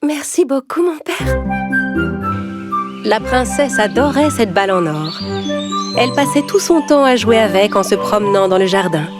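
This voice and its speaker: A woman narrating a scripted children's fairy tale with expressive storytelling delivery. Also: mid-range voice, normal speed, loud music behind the voice.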